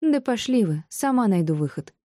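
Woman acting an indignant, bewildered young woman, higher-pitched. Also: mellow, dry recording, clean studio sound, lightly acted. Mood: furious. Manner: exclamatory, quick to snap. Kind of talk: audiobook character acting.

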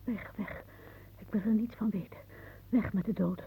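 An actress playing a wry older aunt in a radio play, musing dramatically and haunted by death. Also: female voice, medium pitch, fairly even intonation, moderate pace, warm tone, a little hiss or hum, lightly acted. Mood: anxious. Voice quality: husky. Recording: muffled, phone-like old radio recording.

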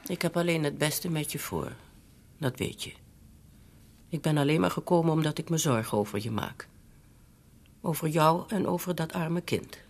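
A woman's voice: deep voice, moderate pace, pauses often. A woman playing a fretful, meddling older mother in a Dutch radio drama, hesitant and nagging as she coaxes. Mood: coaxing, worried. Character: fretful, meddling elderly bourgeois mother, scheming and shame-conscious.

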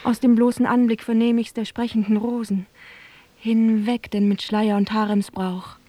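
An actress playing a young, forthright, lovestruck sultan's daughter in a rhymed fairy-tale audio drama, with a lilting, theatrical delivery. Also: female voice, a little high, little pitch movement, moderate pace, mellow, no room sound, natural voice. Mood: tender, teasing.

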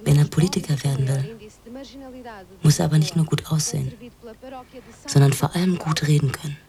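Low-voiced worldly, sceptical woman. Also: steady tone, a little fast, warm tone. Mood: offhand, sceptical. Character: worldly, practical, sceptical female office friend. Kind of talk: radio drama acting. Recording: busy crowd ambience behind studio dialogue.

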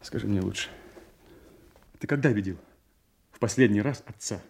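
A young, resentful schemer in a classical audio drama. His voice is rough-edged and slightly low. He speaks with brooding, insinuating theatricality, passing off malice as concern. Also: normal speed, a little mellow. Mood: feigned concern. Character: Edmund, scheming resentful young bastard son, feigning brotherly concern. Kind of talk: theatrical radio drama acting.

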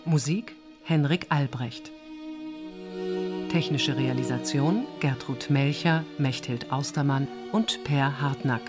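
A woman's voice: a bass voice, slow pace. A woman reading scripted credits as an announcer. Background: bowed string music.

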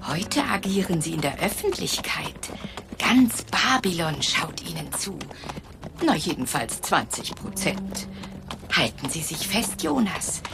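Haughty female tycoon, low creaky drawl, swooping intonation, teasing, radio play, noisy background. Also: sing-song, measured pace, exaggerated voice. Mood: smug, confiding. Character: teasing, haughty rich businesswoman pulling the strings. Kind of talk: German radio drama acting.

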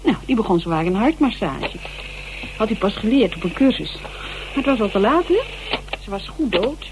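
A woman's voice: mid-range voice, normal speed, background noise, natural voice. An actress playing a chatty, irreverent woman amateur sleuth in a colloquial radio drama. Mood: wry, confiding. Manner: gossipy, animated, colloquial. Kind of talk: conversational radio drama acting.

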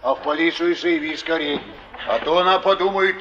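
An actor playing a gruff, practical flophouse dweller, matter-of-fact, in a 1950s Soviet stage play. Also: male voice, on the high side, moderate pace, bright, forceful tone. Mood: blunt, practical. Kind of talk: naturalistic stage acting, 1950s Soviet theatre. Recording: muffled, noisy, echoey theatre recording.

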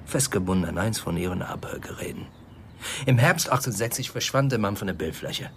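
A man's voice: on the low side, animated, creaky, a bit bright. Affable, guarded male intelligence official, noisy ambience. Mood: matter-of-fact, guarded. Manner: polite, measured, stumbling over a foreign place name. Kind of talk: naturalistic radio play acting.

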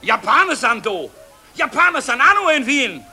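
An actor playing a belligerent Viennese rabble-rouser in a street mob, in 1940s radio satire style. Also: male voice, very high-pitched, very animated, moderate pace, pushed and bright, husky, full character voice.

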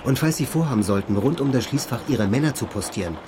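An actor playing a brash, scheming call-centre worker in a radio crime drama, speaking in a blunt, colloquial, pushy way. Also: male voice, medium pitch, expressive, fast talker, noisy background, natural voice. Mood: demanding. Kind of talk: naturalistic radio drama acting.

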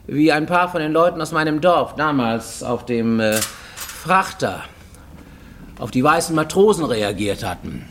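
A man's voice: a little high, creaky, slight background noise. An actor playing a wary, greying Japanese man in hiding abroad, confiding in a formal, insistent way in a radio play monologue. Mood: amused, probing. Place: studio radio production.

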